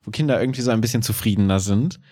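A man's voice: fairly low pitch, rapid-fire, dark tone. A man co-hosting a podcast, chatting casually with playful irony. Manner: joking, playfully ironic. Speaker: podcast co-host. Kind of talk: casual chat.

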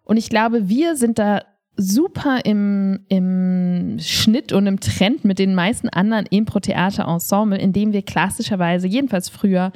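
Eine Frau in ihren Dreißigern spricht als Co-Moderatorin eines Podcasts locker, offen und nachdrücklich. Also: female voice, medium pitch, measured pace, a little mellow, dry recording, clean studio sound. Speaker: podcast co-host, improv performer. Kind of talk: casual conversational podcast talk.

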